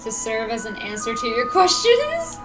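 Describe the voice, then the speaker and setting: A woman's voice: fairly high pitch, wildly swooping pitch, moderate pace. A woman streaming a game and reading its dialogue aloud. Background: game music.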